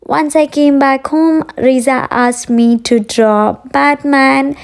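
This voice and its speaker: Chatty woman with gravelly voice. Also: sits high, fairly even intonation, slow. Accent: Indian English. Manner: warm, chatty, addresses viewers directly. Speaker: vlogger. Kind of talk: casual daily vlog narration. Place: home kitchen and outdoors.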